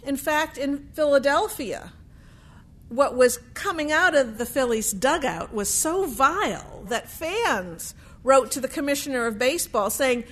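Woman, oral storyteller, high-pitched, slow deliberate delivery, dramatic emphasis, historical narrative. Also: lively intonation, a bit bright.

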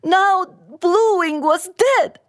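A woman telling a folktale live, in an expressive, deliberate and dramatic manner. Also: very high voice, no echo, clean studio sound. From Japan. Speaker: storyteller. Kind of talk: live oral storytelling of a folktale.

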